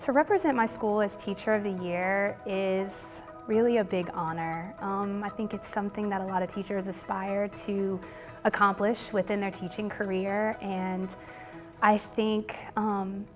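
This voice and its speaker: A woman teacher with a loud voice, speaking earnestly in an interview about her students. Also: medium pitch. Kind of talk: interview testimony.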